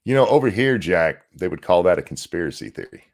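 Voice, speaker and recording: A man's voice: low-pitched, very animated, brisk, gravelly, darkish tone. A man co-hosting a casual political commentary show, reading news aloud and breaking off into sarcastic, profane asides. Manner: sarcastic, swears freely, jumps from dry reading to mocking asides. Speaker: co-host.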